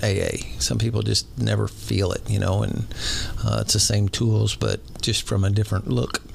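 A man's voice: lowish voice, little pitch movement, normal speed, creaky, slight background noise. A man from Indiana hosting a recovery podcast, talking in a rambling, casual way with plenty of fillers and restarts. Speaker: podcast host.

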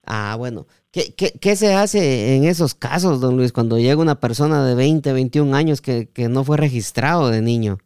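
A man's voice: mid-range voice, moderate pace, few pauses, darkish tone, clear, resonant tone, dry recording, clean studio sound. A man with a Guatemalan accent hosting a casual interview, hesitating and repeating words as he forms his questions. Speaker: podcast host interviewing a guest.

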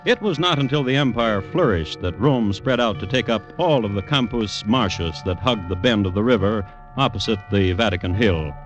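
A man hosting and narrating a scripted 1950s radio history drama in a measured, dryly lecturing manner. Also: lowish voice, lively intonation, soft background music. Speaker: narrator and host.

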